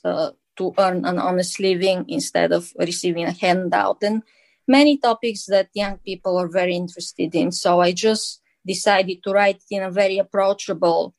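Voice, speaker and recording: Bulgarian accent woman, author guest, earnest and measured, lots of uh's. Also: on the low side, gravelly, no room sound. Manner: earnest and measured, with frequent uh fillers and restarts. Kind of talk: interview answers.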